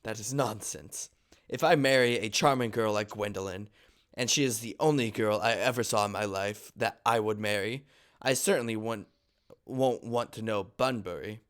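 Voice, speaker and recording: A man voicing an earnest, easily exasperated young gentleman, a little stiff, in a stage comedy table read. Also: on the low side, moderate pace, lightly acted. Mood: dismissive.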